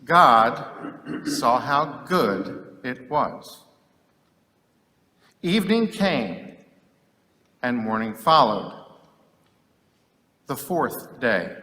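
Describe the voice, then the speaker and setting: A man reading scripture solemnly and dramatically, with deliberate, declamatory phrasing and long pauses. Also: medium pitch, big pitch swings, sharp tone. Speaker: scripture reader. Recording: echoey hall with long reverb.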